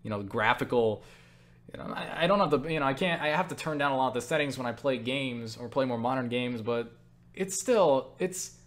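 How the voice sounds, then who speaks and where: A man's voice: medium pitch, lively intonation, fairly quick. Casual, rambling man answering questions. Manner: stumbles over words, self-interrupting; blunt, swears freely. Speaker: YouTuber answering viewer questions. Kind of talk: casual rambling Q&A monologue to camera.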